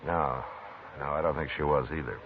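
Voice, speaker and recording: An actor playing a calm, firm frontier lawman in a 1950s radio drama, measured and deliberate. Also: extremely low pitch. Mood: steady. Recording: tinny old radio broadcast with hiss.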